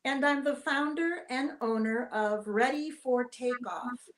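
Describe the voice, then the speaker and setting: A woman from Cleveland who works as a life coach, introducing herself as an author guest in a poised, measured way on a video call. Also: sits high, rough recording. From Cleveland, Ohio.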